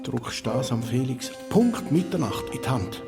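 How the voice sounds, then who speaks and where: A man's voice: mid-range voice, lively intonation, a little slow, creaky. A man playing an earnest, conspiratorial older helper in a Swiss German children's radio play. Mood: earnest, secretive. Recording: music behind the voice.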